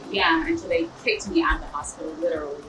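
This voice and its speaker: A woman's voice: low-pitched, steady tone, fast, music in the background. A woman from Texas, talking frankly as an interview guest, with many "um"s and "you know"s. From Texas, United States. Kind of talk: conversational interview. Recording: echoey room, voice far from the mic, clear background noise.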